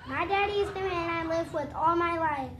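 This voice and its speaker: An incorrigible nine-year-old girl speaks up in a filmed courtroom drama. Her voice is extremely high and defiant. Faint noise sits behind it. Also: moderate pace.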